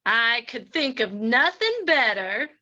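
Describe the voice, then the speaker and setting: Actress doing a saloon gal from a radio western, flirty gangster's accomplice, high bright voice, coy. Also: female voice, takes it slowly, muffled recording, exaggerated voice. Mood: flirtatious. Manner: coy, animated. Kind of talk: old-time radio drama reading.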